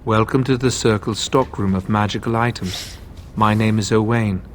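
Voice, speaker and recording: Man as emotionless male storekeeper, lowish pitch, formal, detached, by-the-book, video game character. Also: steady tone, normal speed, slight background noise, close to an everyday voice. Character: emotionless, procedural male magical stockroom keeper. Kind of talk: scripted video game dialogue.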